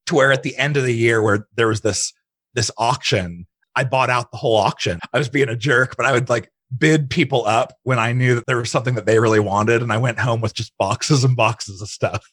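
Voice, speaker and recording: A man's voice: mid-range voice, brisk, a bit bright, slightly rough, dry recording. A man speaking as an interview guest, chatting casually and telling personal stories, often quoting his own thoughts. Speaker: interview guest, digital marketing entrepreneur.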